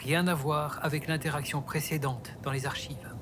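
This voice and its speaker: A man's voice: on the high side, fairly even intonation, normal speed. Male fantasy narrator over background noise. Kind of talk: scripted storytelling narration for a fantasy audio drama. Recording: clear background noise and ambience.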